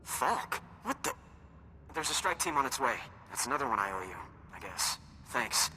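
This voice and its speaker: A man's voice: big pitch swings, moderate pace, bright, forceful tone. A man playing a harried base lieutenant in a video game, tense and businesslike. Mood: surprised, grudging. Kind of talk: video game cutscene acting. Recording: faint rumbling noise behind game audio.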